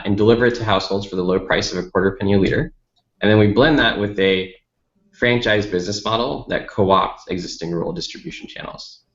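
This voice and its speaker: A man's voice: deep voice, a bit bright. An American man from Dallas, a company founder, giving articulate, methodical explanations as an interview guest. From Dallas, United States. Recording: roomy, slightly dull lo-fi audio.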